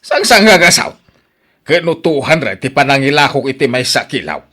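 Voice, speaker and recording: Guy doing a grumpy stingy ilocano villager, forceful voice, really animated, radio drama. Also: mid-range voice, moderate pace. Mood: spiteful anger. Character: stingy, grumpy, spiteful village fruit-tree owner.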